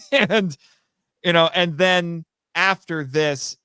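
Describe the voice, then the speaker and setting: A man's voice: on the high side, very slow, pushed and bright, clean tone, no room sound, studio quality. A man talking casually as a podcast guest, explaining his writing like a storyteller. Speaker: podcast guest, writer and voice actor. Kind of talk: casual interview chat.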